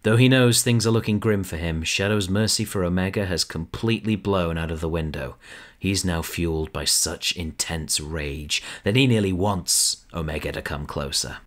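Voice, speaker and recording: A man narrating a fan audio drama in a steady, dramatic storytelling manner. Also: low-pitched, normal speed.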